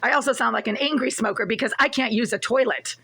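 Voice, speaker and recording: Raspy, breathless woman ranting. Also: fairly high pitch, fast talker, bright, forceful tone. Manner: agitated, relentless, repetitive listing. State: short of breath. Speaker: tenant recording a complaint. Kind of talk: angry first-person complaint vlog. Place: small apartment bathroom, then hallway.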